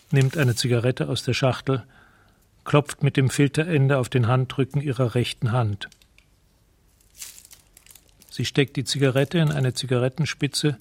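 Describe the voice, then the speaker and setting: A man narrating a radio drama in a detached, precise, scene-setting way. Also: mid-range voice.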